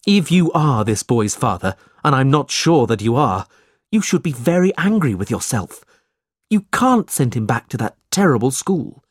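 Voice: mid-range voice, sing-song, moderate pace, breathy edge, no room sound, clean studio sound. A narrator voicing a protective, indignant man in a storybook, speaking firmly and emphatically. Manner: firm, emphatic. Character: protective, indignant young man standing up to villains.

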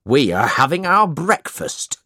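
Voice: medium pitch, sing-song, measured pace, sharp tone, no echo, studio quality, pitched above the performer's own voice, heavily acted. A storybook reader voicing a stingy, blustering middle-aged male schoolmaster who talks in a bossy, falsely generous way.

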